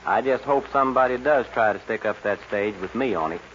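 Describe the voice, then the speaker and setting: An actor playing a brash, confident young stagecoach guard in a 1940s radio western. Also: male voice, fairly low pitch, fairly quick, fairly bright tone, a little hiss or hum, lightly acted. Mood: confident, unworried. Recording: muffled 1940s radio recording.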